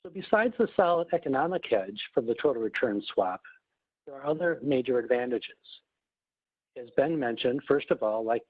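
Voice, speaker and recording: A man giving a prepared, formal webinar presentation in a measured, explanatory manner, as a corporate executive. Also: medium pitch, slightly rough. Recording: muffled phone line, roomy echo.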